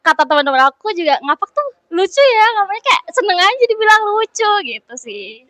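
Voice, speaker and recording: Young female guest from Tegal, lively and chatty, high-pitched, dry close recording. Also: brisk, dry recording. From Tegal, Central Java. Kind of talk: casual interview answers.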